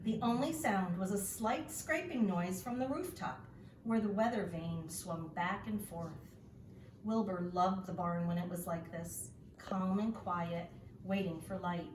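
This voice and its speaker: A woman narrating a children's storybook as a teacher reading aloud, deliberate and expressive. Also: on the low side. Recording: echoey room, distant mic, faint noise.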